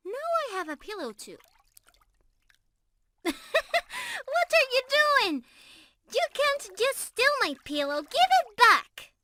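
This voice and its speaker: A woman's voice: very high-pitched, huge pitch swings, slow, pauses often, noisy background, heavily acted. A woman playing a sweet, bashful young mermaid in an intimate ASMR roleplay, affectionate and girlish, easily flustered and teasing.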